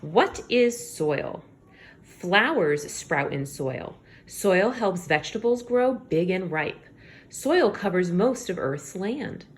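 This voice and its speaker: A woman teacher reading aloud to young children, expressive, pausing to explain things simply and check in with her listeners. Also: on the low side. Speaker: elementary school teacher.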